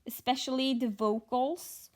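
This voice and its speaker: A woman from Norway with a Norwegian accent, chatting casually in a vlog, pausing and thinking aloud, a little sleepy. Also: sits high, fairly even intonation. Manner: halting, thinking aloud with fillers. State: just woken up, short on sleep. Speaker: stitching vlogger.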